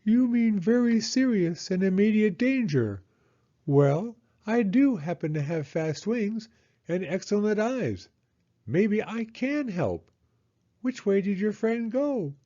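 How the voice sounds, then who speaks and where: A man voicing an eager, self-assured owl in a children's storybook, with hooting, drawn-out exclamations. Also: sits high, very animated, warm tone, muffled recording, voice pushed up, exaggerated voice. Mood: thoughtful.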